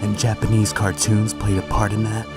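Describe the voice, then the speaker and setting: A man voicing a gruff, stoic, battle-hardened soldier in a video game, terse and blunt, with a gravelly growl. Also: fairly low pitch, little pitch movement, moderate pace, close to an everyday voice. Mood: skeptical. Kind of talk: dramatic video game voice acting. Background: background music.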